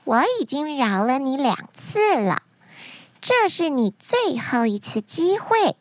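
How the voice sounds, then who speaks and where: A woman plays an arrogant fairy-tale princess with a quick temper. The voice is very high and sweeps up and down as she gives imperious orders. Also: big pitch swings, dry recording, heavily acted. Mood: haughty. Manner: haughty, imperious.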